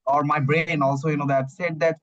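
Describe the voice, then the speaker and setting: A man's voice: fairly high pitch, little pitch movement, fairly quick, dull audio, a crowd in the background. A young man from Bangladesh with a Bangladeshi accent, a student talking as a guest in a casual English practice chat, hesitant and full of "you know that" and "uh". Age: young adult.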